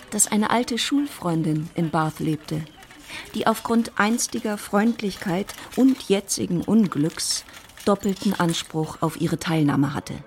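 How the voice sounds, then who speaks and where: A woman giving measured, composed literary narration in a radio play. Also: lowish voice, darkish tone.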